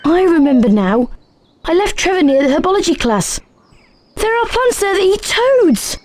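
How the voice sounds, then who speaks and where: A woman's voice: very high voice, very animated, normal speed, a little mellow, no room sound. A woman playing an anxious, timid, forgetful young schoolboy in a video game. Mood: relieved. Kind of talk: video game character acting.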